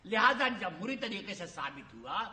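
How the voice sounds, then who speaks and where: An exasperated Pakistani religious schoolmaster in an old TV satire, scolding and declaiming. The voice is high and piercingly bright, in an echoey room. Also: male voice, big pitch swings, moderate pace, full character voice. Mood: mock-solemn. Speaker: actor. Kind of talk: satirical TV stage-play acting. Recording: echoey old TV drama soundtrack.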